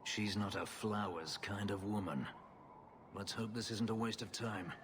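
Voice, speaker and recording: An actor playing a grim, bitter elf warrior who escaped slavery, in a terse, intense video game performance. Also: male voice, lowish voice, measured pace, noisy background, lightly acted. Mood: grim, urgent. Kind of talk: dramatic video game acting.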